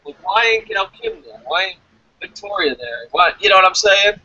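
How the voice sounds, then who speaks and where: A man giving excitable, casual fan commentary as co-host of a review show, rambling and repeating himself. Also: high-pitched, normal speed, dry recording, lo-fi sound.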